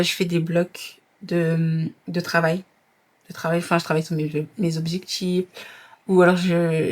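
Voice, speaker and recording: Young woman vlogger, kinda low voice, chatty, throws in english bits. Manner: chatty, drops in English phrases. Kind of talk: casual vlog chat.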